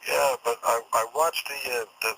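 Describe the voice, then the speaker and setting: A man phoning in to a radio show, arguing in a challenging, agitated way with halting, repeated words. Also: mid-range voice, creaky, bright, forceful tone, husky. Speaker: phone-in caller and regular commentator. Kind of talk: opinionated phone-in argument. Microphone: telephone. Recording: phone call on radio broadcast.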